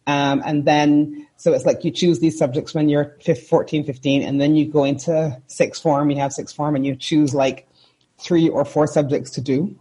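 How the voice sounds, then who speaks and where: Mellow husky woman from Barbados. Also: deep voice, fairly even intonation, moderate pace. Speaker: podcast guest. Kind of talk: casual conversation.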